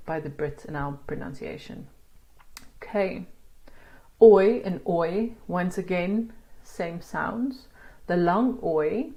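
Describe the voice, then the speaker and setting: A woman with a South African accent, teaching pronunciation in a casual spoken lesson and sounding out words slowly. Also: on the low side, a little mellow, rough recording. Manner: demonstrates sounds slowly, laughs at herself. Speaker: language teacher.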